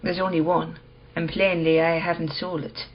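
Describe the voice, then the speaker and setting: An actress playing a cool, probing woman who will not budge, measured and blunt, in a radio play. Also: fairly low pitch, flat delivery, lo-fi sound, lightly acted. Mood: firm, calm. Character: cool, probing, unyielding female owner of rare mirror.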